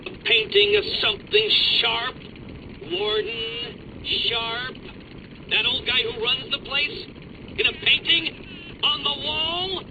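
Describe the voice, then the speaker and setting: Manic high-pitched gloating villain. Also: male voice, lively intonation, slow, vocal fry, exaggerated voice. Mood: condescending. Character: gloating egomaniac male villain, manic riddle-posing genius. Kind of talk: video game voice acting. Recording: muffled comms audio over noisy ambience.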